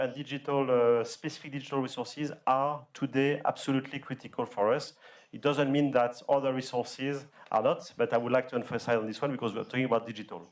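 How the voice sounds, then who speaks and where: A man speaks as a company executive on a discussion panel, at a middling pitch. He is emphatic, often repeating a phrase as he builds his point. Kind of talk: round-table talk.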